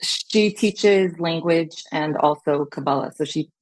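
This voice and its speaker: A woman with a notably low voice, speaking as a guest in a casual interview. She is from California, spoke Hebrew before English, and looks back on her upbringing calmly, with plenty of "like" and "you know.". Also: unhurried, rough recording. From Long Beach, California. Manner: relaxed, reflective, many fillers.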